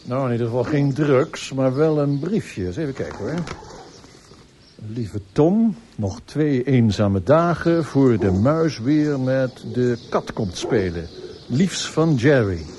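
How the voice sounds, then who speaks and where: An actor playing a brisk, dryly courteous police inspector who speaks formally and in a measured way in a radio play. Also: male voice, mid-range voice, animated, warm tone, slight background noise. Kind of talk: radio drama acting.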